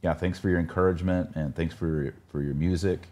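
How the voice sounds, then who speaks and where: A man's voice: low voice, steady tone, a little fast, warm tone. A man hosting a podcast in a casual, conversational way. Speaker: podcast host.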